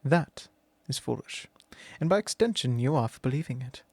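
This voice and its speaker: A man's voice: medium pitch, animated, moderate pace, darkish tone, dry recording. A man voicing an arrogant, possessive immortal vampire in an ASMR roleplay, talking down in a drawl and sometimes whispering close to the mic. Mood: menacing.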